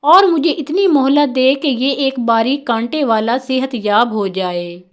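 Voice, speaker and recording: A woman voicing a shrewd, patient male master of a household in a told story, measured and persuasive. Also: high voice, close to an everyday voice.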